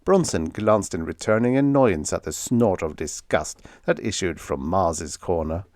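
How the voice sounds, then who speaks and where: Male narrator, lowish voice, animated intonation, dramatic scripted storytelling, close dry sound. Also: measured pace, no echo. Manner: expressive, dramatic. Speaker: audiobook narrator.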